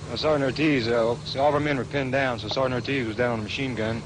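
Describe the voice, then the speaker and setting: Army guy explaining stuff haltingly, average pitch, noisy outdoor recording. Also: fairly even intonation. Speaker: soldier being interviewed. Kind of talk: halting spontaneous account. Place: outdoors in the field. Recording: noisy outdoor archival field interview.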